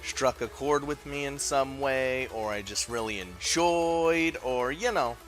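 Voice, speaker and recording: A man's voice: medium pitch, measured pace, fairly bright tone, faint music behind. A man giving casual, informal video commentary as a game reviewer, talking in an enthusiastic, rambling way. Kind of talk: casual top-five list commentary.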